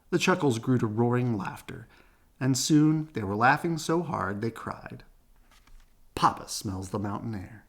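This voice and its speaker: A man reading a children's picture book aloud in an animated storytelling style. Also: mid-range voice, husky. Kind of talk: animated read-aloud narration.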